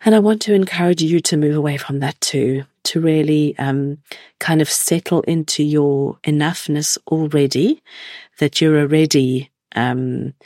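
A woman in her forties with a croaky voice from a cold, hosting a reflective solo podcast and musing gently with many hesitations. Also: sits low, steady tone, a little slow, dry recording, studio quality. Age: 40s. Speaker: podcast host.

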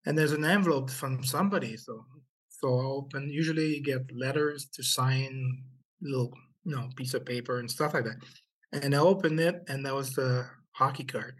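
Middle-aged man recalling, halting delivery. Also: medium pitch, slightly rough, low-quality recording. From Haiti, raised in Quebec. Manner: halting, many fillers and restarts. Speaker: interviewee, former hockey player. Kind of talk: interview storytelling.

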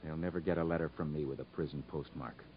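An actor playing a stubborn, sullen convict in a 1950s radio drama, laconic and quietly defiant. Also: male voice, extremely low pitch, fairly even intonation, fast. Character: stubborn, sullen Southern male convict, self-minded. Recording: dull, noisy 1950s radio recording.

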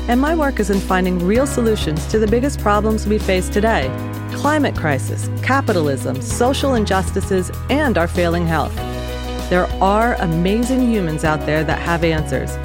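A woman hosting a podcast, reading a scripted introduction in an earnest, measured way before interviewing a guest. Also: fairly low pitch. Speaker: podcast host.

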